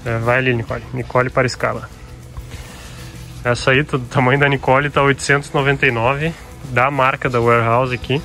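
A young Brazilian man chatting casually while he films inside a big store, joking around and reading prices aloud. He speaks at an ordinary, mid-range pitch, with his handheld camera picking up the store's echo. Soft music plays underneath his voice. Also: little pitch movement, brisk. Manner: chatty, jokey, lists prices out loud. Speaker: travel vlogger. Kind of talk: casual store walkthrough vlog. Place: large indoor department store.

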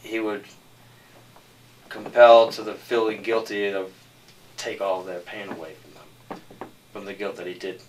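A man's voice: fairly low pitch, flat delivery, unhurried, long pauses. A man answering interview questions as a screenwriter, explaining his work. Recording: slightly distant mic with background noise.

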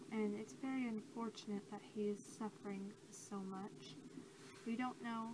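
A noisy home recording of a young woman in a soft ASMR role-play. She speaks gently and haltingly at a medium pitch, with very little rise and fall. Her hushed voice tends to sink toward a whisper. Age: young adult. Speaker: ASMR creator role-playing a creature examiner. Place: small cluttered room indoors.

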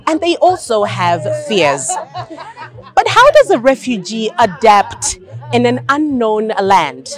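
A woman, presenting a scripted documentary piece to camera. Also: fairly high pitch. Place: outdoors in a camp market.

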